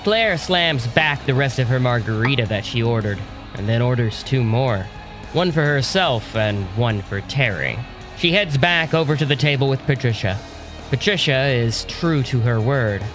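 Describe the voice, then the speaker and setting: A man's voice: mid-range voice, expressive, normal speed. A man narrating an audio drama in a scripted storytelling style. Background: music.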